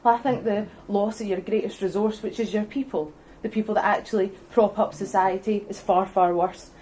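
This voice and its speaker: A young woman with a Scottish accent makes her case at a public debate, earnest and forceful as she builds her argument point by point. Her voice is warm and mellow, with a faint hiss of noise in the background. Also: medium pitch, steady tone, normal speed, low-quality recording. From Scotland. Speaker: debate speaker for independence.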